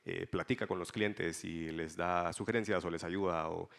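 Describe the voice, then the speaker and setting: A man's voice: sits low, steady tone, moderate pace, gravelly, fairly bright tone. A man speaking as a fintech panelist on a conference stage, hesitant and full of fillers, with light jokes. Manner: hesitant, many fillers, joking.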